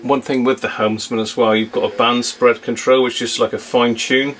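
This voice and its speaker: A man's voice: medium pitch, level tone, moderate pace, fairly bright tone. A man giving a casual, off-the-cuff demonstration as a hobbyist host, hesitant and trailing off into muttered asides. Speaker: radio hobbyist, video host. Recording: home recording with faint background hiss.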